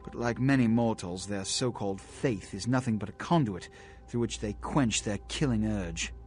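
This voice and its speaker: An actor playing a haughty, scheming vampire prince in a video game, speaking in a formal, commanding, theatrical way. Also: male voice, lowish voice, normal speed, a little mellow, soft background music, lightly acted. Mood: grave, lecturing. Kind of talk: video game character acting.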